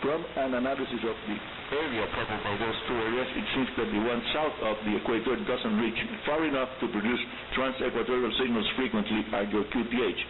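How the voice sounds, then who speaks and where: A man with a Cuban accent and Spanish as his first language, hosting a scripted radio show and addressing listeners directly and deliberately. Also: medium pitch. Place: radio broadcast picked up on shortwave. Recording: hissy, humming narrow-band shortwave radio reception.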